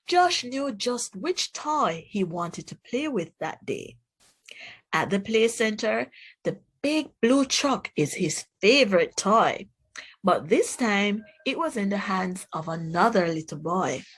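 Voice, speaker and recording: A woman reading a children's storybook aloud in a deliberate, expressive way, speaking directly to young listeners. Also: medium pitch, husky.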